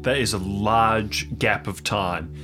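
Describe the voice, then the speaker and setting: A man's voice: fairly low pitch, slow pace, bright, forceful tone, faint music behind. A man playing in an improvised audio drama, casually narrating and voicing his own character. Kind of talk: casual third-person role-play.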